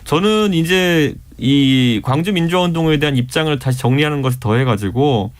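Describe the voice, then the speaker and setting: Earnest man in his thirties debating. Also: a little high. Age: 30s. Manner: measured, earnest argument. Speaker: political panelist. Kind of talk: radio debate. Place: radio studio.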